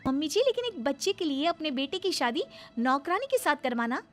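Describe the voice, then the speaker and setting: A woman's voice: high voice, sing-song, a little fast, over music. A woman voicing a wronged young wife in a cartoon story, speaking earnestly, in a pleading and protesting way. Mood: shocked, protesting. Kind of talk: Hindi cartoon story voice acting.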